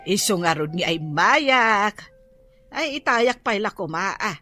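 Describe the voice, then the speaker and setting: A woman's voice: mid-range voice, lively intonation, slow pace, sharp tone, no echo, faint music behind. A woman acting an aggrieved, gossipy village daughter in an Ilocano radio comedy drama, with an Ilocano accent and exclamatory delivery.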